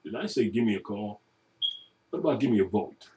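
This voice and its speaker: A middle-aged man recording a chatty, joking audition, laughing often and now and then dropping into a booming announcer voice. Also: expressive, a little fast, far from the mic, noisy background. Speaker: voice-over audition. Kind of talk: self-made audition video.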